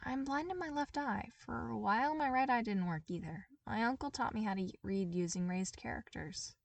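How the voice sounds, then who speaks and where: A woman's voice: on the high side, normal speed, gravelly, no room sound, distant mic, voice pushed up. A woman voicing an awkward, anxious teenage boy who is apologetic and wounded, with a nervous, hesitant manner. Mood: earnest, subdued.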